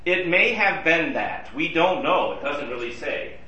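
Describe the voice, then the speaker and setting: A man's voice: on the high side, lively intonation, measured pace, sharp tone. Man leading a roomy Bible class. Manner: conversational, asks the group questions. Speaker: Bible study leader. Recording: roomy and distant from the mic.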